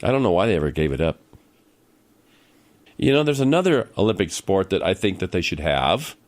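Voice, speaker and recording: A man's voice: low-pitched, sing-song, fairly quick. A man hosting a radio comedy show with playful banter. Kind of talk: unscripted-sounding comedic banter.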